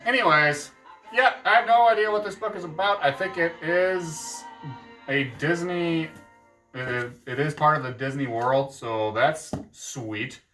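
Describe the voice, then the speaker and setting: A man with a bright, forceful voice talks through his reading list in a loose, jokey ramble, often stopping to correct himself. He sits back from the camera mic, so his voice sounds a little distant, and faint music plays underneath. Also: on the high side, measured pace. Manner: jokey, meandering, self-correcting. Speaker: book vlogger. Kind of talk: casual rambling book chat.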